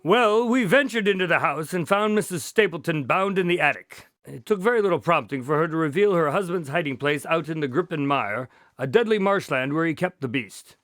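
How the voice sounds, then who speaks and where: Radio drama doctor male actor: measured, courteous, higher-pitched, dry close sound, formal storytelling. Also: lively intonation, a bit bright, no room sound. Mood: composed recounting. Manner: formal, precise phrasing.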